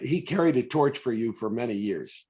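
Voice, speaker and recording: An older man with an American accent hosts a casual interview. His pitch sits in the middle for a man. He is effusive and fond of reminiscing, and he often repeats his phrases as he talks. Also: lively intonation, normal speed, mellow, a little hiss or hum. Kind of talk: casual, chatty live interview. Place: indoors on a video call.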